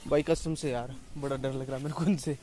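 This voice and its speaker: A man's voice: mid-range voice, fairly even intonation, a little fast. A man in his twenties chatting casually as a vlogger, recorded outdoors. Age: 20s. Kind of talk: casual vlog chat. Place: outdoors by a lake. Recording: music behind the voice. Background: music.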